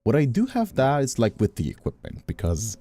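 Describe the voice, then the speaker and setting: A man's voice: mid-range voice, huge pitch swings, a little fast, warm tone, soft background music. A man streamer talking casually on a livestream, rambling and emphatic, repeating phrases as he goes, close on a studio microphone. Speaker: streamer and host. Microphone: close studio condenser microphone.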